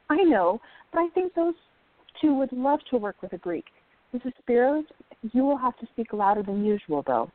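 A woman's voice: a little high, very animated, normal speed, no echo. An actress playing a supportive, teasing young woman in a radio play, on a thin recording. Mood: playful. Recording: thin, phone-like audio.

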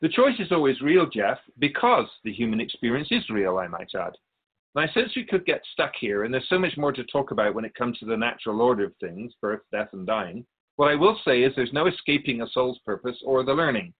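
A man playing a serene, wise otherworldly keeper of souls in a radio drama, speaking in a measured, formal, instructive manner. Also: medium pitch, big pitch swings, phone-quality sound. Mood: gently admonishing.

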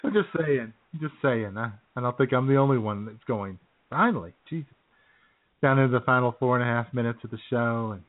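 A man's voice: mid-range voice, fairly quick. Man hosting casually over thin, lo-fi audio. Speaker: radio show host. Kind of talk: casual radio chat. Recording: tinny phone-like lo-fi audio.

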